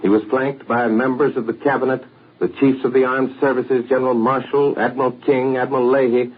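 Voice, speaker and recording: An American man anchoring a 1940s radio news broadcast in a measured, formal way, on an old radio recording. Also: medium pitch, little pitch movement, breathy edge, phone-quality sound.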